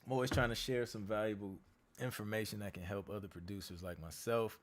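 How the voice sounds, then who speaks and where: Hoarse laid-back man on a livestream. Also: fairly low pitch, steady tone, brisk. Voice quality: frequent throat clearing. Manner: laid-back, slangy, reads out viewer comments. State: losing his voice, hoarse. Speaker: music producer hosting a live Q&A. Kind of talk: casual livestream chat.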